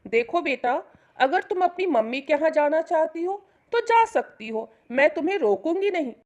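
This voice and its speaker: Woman acting a high-voiced, expressive mother-in-law. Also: normal speed, highs cut off. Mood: reassuring. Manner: patient, explanatory. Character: older mother-in-law, affectionate, explaining, reassuring. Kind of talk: dramatised family story.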